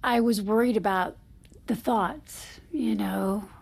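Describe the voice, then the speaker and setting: A woman's voice: medium pitch, steady tone, slow, gravelly, darkish tone, breathy edge, exaggerated voice. An actress in an audio drama playing a guarded, evasive married woman who speaks hesitantly and deflects. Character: guarded, evasive married woman in therapy, curt when pushed. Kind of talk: naturalistic audio drama acting.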